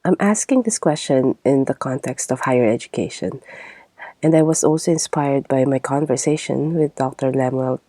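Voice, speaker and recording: A woman in her thirties hosting a podcast, delivering a reflective scripted monologue in a measured, articulate way. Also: a bass voice, dark tone, no echo. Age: 30s. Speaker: podcast host.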